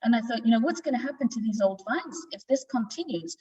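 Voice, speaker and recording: A woman's voice: a little high, animated, quick pace, warm tone. A woman in her forties with a Northern English accent, telling her story informally as a guest presenter on a video call. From north of England. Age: 40s. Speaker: guest presenter, wine exporter. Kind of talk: informal virtual tasting presentation. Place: office tasting room, indoors. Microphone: video call, away from the mic. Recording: distant, dull lo-fi video-call sound.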